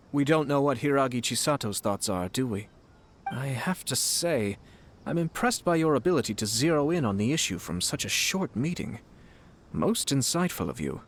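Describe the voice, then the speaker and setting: Man as measured, courteous young nobleman. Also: mid-range voice, natural voice. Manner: measured, formal, polite. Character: composed, courteous young nobleman and shrewd clan commissioner. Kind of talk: video game voice acting.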